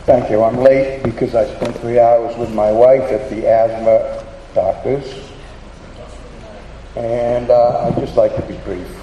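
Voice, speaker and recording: A man's voice: mid-range voice, fairly even intonation, slow pace, muffled recording, a little hiss or hum. A man with a Boston accent giving earnest public testimony at a hearing, hurrying with many fillers and restarts. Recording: echoey hall with long reverb.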